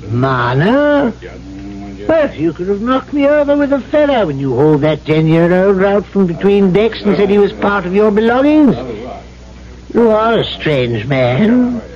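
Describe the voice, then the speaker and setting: An actor playing a suave, sly, cynical gentleman trader in an old-time radio drama, speaking in a glib, florid, over-polite way. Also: sits high, very animated, unhurried. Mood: cynical, pushy. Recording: dull old radio recording.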